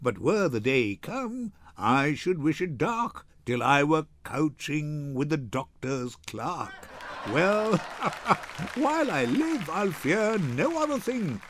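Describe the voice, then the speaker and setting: An older man acts a rowdy, jesting young gentleman from a Shakespeare comedy. His voice sits fairly high and leaps about theatrically, relishing each phrase. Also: very animated, slow, full character voice. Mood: merry, bawdy. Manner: boisterous, theatrical. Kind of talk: dramatic Shakespeare reading.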